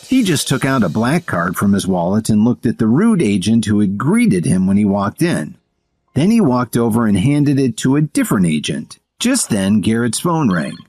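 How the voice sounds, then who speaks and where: A man's voice: on the low side, darkish tone. A man narrating an audio series in a scripted, dramatic storytelling style.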